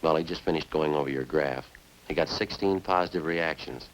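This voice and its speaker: A man playing a terse, unflappable police sergeant in a radio crime drama, speaking in a clipped, deadpan, matter-of-fact way. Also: rumbling low, brisk, a little hiss or hum, poor audio. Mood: cool, probing. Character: terse, unflappable male police sergeant narrating his case.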